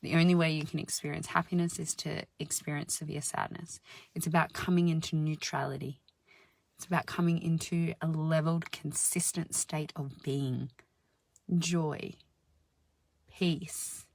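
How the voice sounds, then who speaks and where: A woman with a deep, husky voice giving a slow, soothing spiritual self-help talk, sometimes dropping into soft whispers. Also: fairly even intonation, no echo. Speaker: spiritual healer and channel host.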